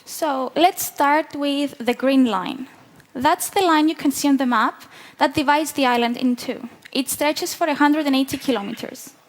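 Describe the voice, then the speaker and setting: Bright high Cypriot woman presenter. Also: moderate pace. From southern Cyprus. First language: Greek. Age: 20s. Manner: clear, explanatory delivery. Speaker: conference speaker. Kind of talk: prepared conference talk. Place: large hall with audience. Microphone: headset mic.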